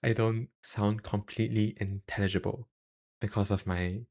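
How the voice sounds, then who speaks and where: Man, young adult, Singaporean accent, slightly low creaky voice, slow halting delivery, casual self-deprecating stream chat. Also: fairly even intonation, a little mellow. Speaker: YouTuber and live streamer. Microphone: cheap USB microphone.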